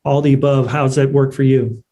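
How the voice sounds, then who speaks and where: A man's voice: mid-range voice, steady tone, a little fast, mellow. A man moderating a panel conversationally, encouraging the guests and affirming what they say. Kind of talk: conversational hosting.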